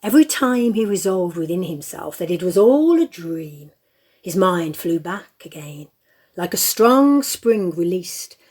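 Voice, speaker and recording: A woman narrating a story in an expressive, measured way, as the narrator of an audio play. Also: lowish voice, slightly rough.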